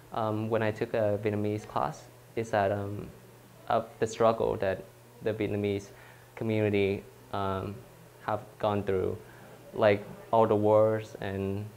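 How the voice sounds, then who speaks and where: A young man from California, a student speaking thoughtfully with many "um" pauses. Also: lowish voice, a little mellow, slight background noise. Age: young adult. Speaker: student interviewee. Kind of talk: unscripted reflective answers.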